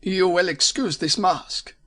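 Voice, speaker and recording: A man's voice: high-pitched, slow, sharp tone, pitched above the performer's own voice, full character voice. A man voicing a masked Bohemian nobleman who is formal and commanding, with a German accent. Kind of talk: audiobook character voice.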